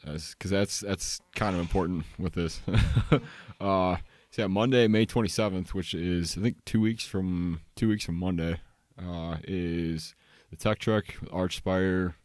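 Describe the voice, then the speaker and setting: Young man, very low voice, dry close sound, hesitant and laid-back co-host. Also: steady tone, a little slow, darkish tone, dry recording. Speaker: podcast co-host. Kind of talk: casual podcast chat.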